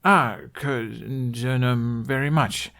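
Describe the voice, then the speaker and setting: A man voicing a polite, earnest young man in an audiobook, gentle and slightly awkward. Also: medium pitch, drawn-out words, voice pushed up. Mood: polite, kindly. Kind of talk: fan audiobook character voice.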